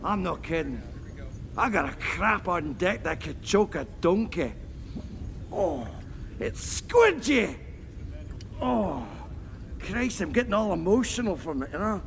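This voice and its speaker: A huge, crude Scottish henchman, booms out demands in a thick, gravelly brogue. His voice sits high and swings wildly. There is a faint hum of noise behind him. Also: expressive, slow, long pauses, long reverb tail, full character voice. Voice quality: thick brogue, bellowing and lip-smacking.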